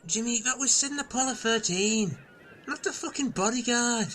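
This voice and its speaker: A woman's voice: a little high, moderate pace, fairly bright tone, slight background noise, natural voice. A woman playing a grumpy, impatient castaway in an improvised comedy audio drama, blunt and exasperated with a drawl.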